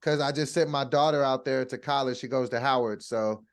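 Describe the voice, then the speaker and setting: A man in his forties, a guest comedian on a podcast, talking in a relaxed way and laughing easily. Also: mid-range voice, fairly quick. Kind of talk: casual podcast chat.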